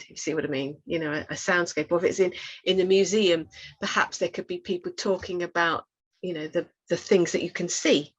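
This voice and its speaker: A woman speaks as a panelist in an online talk, offering advice conversationally. Her voice sits at a middle pitch, and the call audio is slightly dull. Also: fairly bright tone. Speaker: webinar panelist. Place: indoors on a video call.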